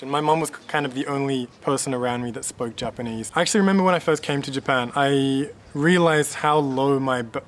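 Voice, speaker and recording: Casting: man, late 20s, from Australia, casual vlog delivery, average pitch, lots of "uh", faint background hiss. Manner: relaxed, rambling, many "uh" fillers and restarts. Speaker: vlogger. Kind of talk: casual talk to camera.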